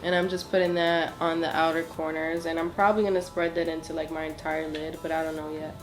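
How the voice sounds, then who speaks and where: A woman's voice: deep voice, little pitch movement, fast talker, over loud music. A woman in her twenties with a nasal voice from a cold, chatting through a makeup tutorial in a small home studio, apologetic and full of ums and likes. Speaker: beauty vlogger. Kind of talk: casual makeup tutorial chat.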